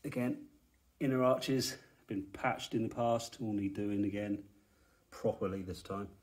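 A man's voice: lowish voice, steady tone, a little slow. A man in his forties from England, giving matter-of-fact commentary with dry, understated remarks while filming a walkaround of an old car in an indoor garage workshop. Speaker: car restorer, channel host.

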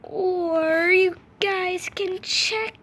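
A woman's voice: very high-pitched, steady tone, very slow, a bit bright. A young woman improvising role-play narration over a video game in a playful sing-song manner, recorded on a phone microphone. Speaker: host of a gaming channel. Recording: noisy amateur phone screen recording.

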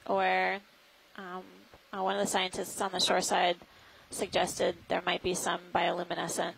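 A woman scientist giving explanatory live commentary on a deep-sea dive. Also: completely flat delivery, moderate pace, vocal fry, fairly bright tone, low-quality recording. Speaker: expedition scientist narrating dive. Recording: faint background noise.

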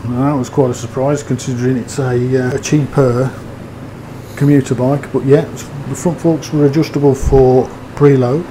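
A man's voice: mid-range voice, level tone, moderate pace, warm tone, slight background noise, poor audio. A man giving a casual, rambling motorbike review, with fillers and hesitations. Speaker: motovlogger.

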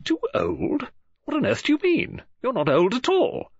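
A man voicing a chatty, excitable middle-aged woman novelist who talks in a gushing, coaxing way. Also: normal speed, no room sound, exaggerated voice. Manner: gushing, coaxing, high breathy falsetto. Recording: dull lo-fi audiobook recording.

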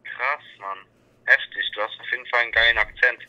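A man's voice: medium pitch, fairly even intonation, fairly quick, bright, forceful tone, dull audio. A young man from Berlin chatting over a random call, blunt and dismissive, full of street slang. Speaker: caller in a random chat. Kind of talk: casual phone chat.

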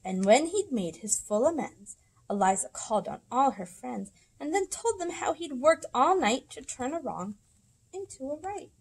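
A woman in her thirties reading rhyming picture books aloud to children, with dramatic, deliberate storybook phrasing. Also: high-pitched, animated, a little mellow, a little hiss or hum, rough recording. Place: indoors in a library room.

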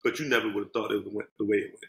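A man in his thirties co-hosts a sports podcast on a headset video call. He talks in an animated, joking way and swears freely. His voice rings with the echo of the room at home. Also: fairly low pitch, racing, vocal fry, slight background noise. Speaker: podcast co-host. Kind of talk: casual sports chat.

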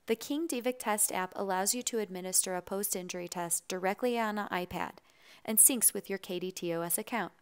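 A woman with a smooth, clear voice reads a scripted tutorial voice-over. Her delivery is even, measured and instructional, in a mid-range pitch, recorded close on a studio mic. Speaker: tutorial narrator.

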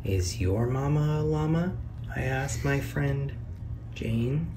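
A man's voice: mid-range voice, animated, takes it slowly, distant mic, background noise. A man reading a children's picture book aloud in a sing-song way, lifting his voice on each question and reveal. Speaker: read-aloud narrator. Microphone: camera over the book, hands on pages.

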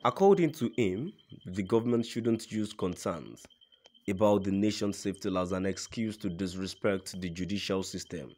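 A man's voice: low voice, moderate pace, a little mellow. A man narrating a scripted news report in a formal read-aloud manner.

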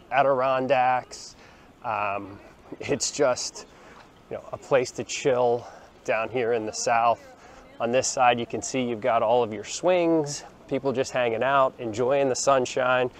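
Man in his forties, mid pitch, casual tour talk, walking outdoors, phone held at arm's length, faint ambience and traffic. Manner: chatty and relaxed, with frequent ums and restarts. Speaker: vlogger showing a beach town. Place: outdoors, sunny beachfront plaza and beach. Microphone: phone held at arm's length, small clip-on mic.

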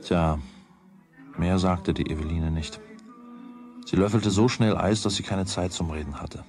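A man's voice: sits low, steady tone, measured pace, music in the background. A man narrating a children's radio play in a scripted storytelling style.

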